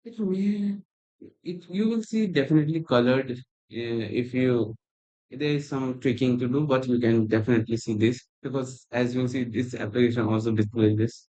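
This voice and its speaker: Halting man on a dull laptop mic. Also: mid-range voice, mellow. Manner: halting, rambling, non-native phrasing. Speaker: programming tutor. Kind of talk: screen-recorded coding tutorial. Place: at a computer, indoors. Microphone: laptop built-in mic.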